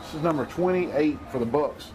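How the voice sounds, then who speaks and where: Middle-aged man, hoarse, folksy football announcer, slightly high voice. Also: brisk, a loud crowd, rough recording. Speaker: play-by-play announcer. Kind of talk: local football commentary.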